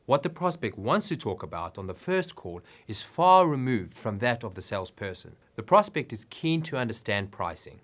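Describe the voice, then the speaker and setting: A man's voice: medium pitch, big pitch swings, moderate pace, hardly any pauses, a bit bright. A man reading scripted business advice narration in an instructive way with emphatic phrasing.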